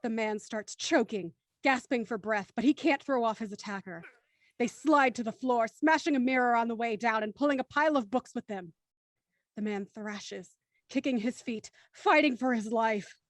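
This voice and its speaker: A woman narrating a radio drama in a measured, suspenseful way. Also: a little high, no echo.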